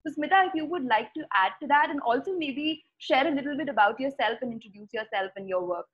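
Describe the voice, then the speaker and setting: A young woman moderating a webinar panel, polite and measured, on an earphone mic over a video call. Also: high voice, animated, husky. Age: young adult. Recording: dull video call audio.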